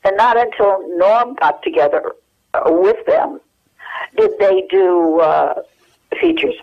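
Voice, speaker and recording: An American woman in her eighties reminiscing casually and haltingly as an interview guest over the telephone. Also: mid-range voice, big pitch swings. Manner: halting, searching for memories. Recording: dull, lo-fi telephone hook-up.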